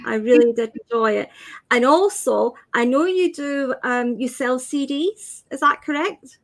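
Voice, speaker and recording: A woman hosting an interview, speaking softly and hesitantly with many filler sounds. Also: high voice, unhurried. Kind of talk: casual interview chat.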